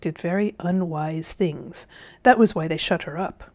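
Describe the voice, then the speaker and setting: Woman voicing a sly, wheedling old gentleman. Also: on the low side, measured pace, close to an everyday voice. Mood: evasive. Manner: wheedling, condescending, old-fashioned genteel phrasing. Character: cunning, smug old gentleman uncle, falsely sweet and sly. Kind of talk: storybook character voice.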